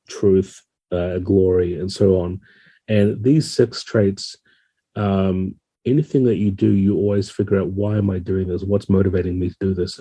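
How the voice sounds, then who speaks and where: A man with a low, mellow voice talks as an interview guest, explaining things in a thoughtful, conversational way. His tone has a husky grain, and the sound is close and dry. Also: no room sound, poor audio. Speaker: podcast guest, game designer.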